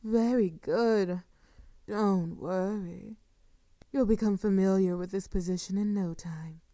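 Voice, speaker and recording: A woman playing a seductive, sadistic female vampire in an intimate audio roleplay, commanding and mock-tender, often slipping into a breathy whisper. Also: mid-range voice, fairly even intonation, takes it slowly, warm tone, no echo, studio quality, natural voice. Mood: commanding, gloating.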